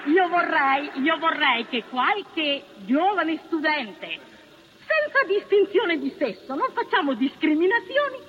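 An older woman giving an impromptu public speech in a wry, pointed, halting manner. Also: sits high, big pitch swings. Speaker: former partisan speaking at a meeting. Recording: dull, slightly noisy archive recording.

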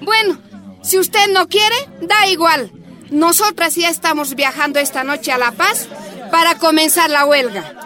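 An actress playing a determined, impatient miners' activist in a radio drama, insistent and declamatory, with a Bolivian accent. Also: female voice, high voice, measured pace, sharp tone, some chatter behind.

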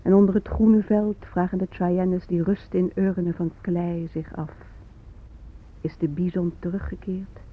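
Husky, mystical Earth spirit. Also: female voice, fairly low pitch, flat delivery. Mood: hushed, ominous. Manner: poetic, deliberate. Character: the Earth herself, patient, wounded, mystical female narrator. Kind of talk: radio drama monologue. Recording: tinny, phone-like old radio recording.

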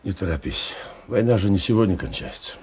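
An actor playing a grave, measured Guards major in a Soviet radio drama. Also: male voice, sits low, lively intonation, husky, heavily acted. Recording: muffled old radio drama recording.

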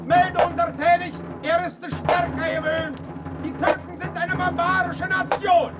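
Dutiful soldier, radio drama, clipped, high-pitched, music behind. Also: male voice, little pitch movement, measured pace. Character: servile soldier reporting to the king. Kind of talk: 1970s radio drama acting.

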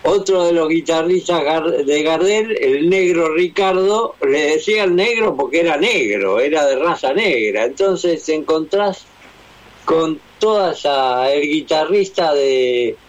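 A man's voice: on the high side, lively intonation, a little slow. A man with an Argentine accent chatting casually on the radio and telling anecdotes. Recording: dull radio broadcast sound.

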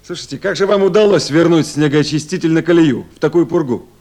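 An actor playing a busy, attentive and kindly duty officer in a 1970s Soviet radio play. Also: male voice, on the high side, fast talker, darkish tone, lightly acted. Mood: attentive, businesslike.

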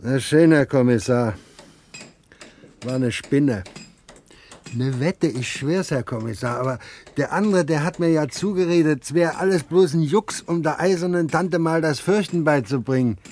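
A man's voice: fairly high pitch, moderate pace, darkish tone, slight background noise, natural voice. An actor playing an evasive fairground showman, a burly former wrestler, talking colloquially and making sheepish excuses in a 1960s German radio crime drama. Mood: confessing, defensive.

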